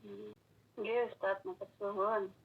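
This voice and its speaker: A woman's voice: medium pitch, level tone, slow, gravelly. A woman respectfully putting questions to a religious teacher in a polite, deferential way. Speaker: audience member asking the teacher questions. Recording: dull telephone-like online call audio.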